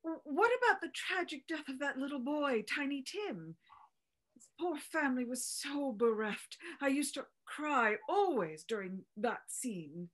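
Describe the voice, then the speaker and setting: A woman's voice: high-pitched, expressive, unhurried, a bit bright. A woman playing a sympathetic, sentimental companion, commiserating and emotive in a theatrical conversation.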